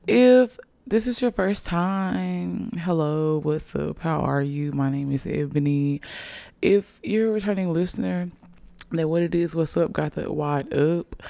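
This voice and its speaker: A young woman hosting her own podcast, chatting casually and playfully in a raspy, hoarse voice after a long night. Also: low-pitched, expressive, slow pace, darkish tone, no room sound. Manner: chatty, playful, hyping herself up. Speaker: podcast host. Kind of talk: casual solo podcast chat. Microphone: podcast microphone.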